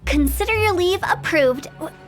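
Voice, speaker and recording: A woman's voice: extremely high pitch, lively intonation, normal speed, faint music behind. A woman voicing a playful, mischievous young funeral director in a video game, teasing and glib. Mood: breezy, businesslike.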